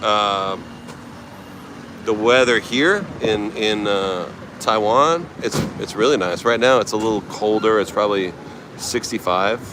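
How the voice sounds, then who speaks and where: A man's voice: on the low side, measured pace. A middle-aged American man from California, rambling in a laid-back way as he livestreams and answers his viewers. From United States, California. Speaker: travel livestreamer. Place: outdoors at a night market by the beach. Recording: noisy outdoor street recording.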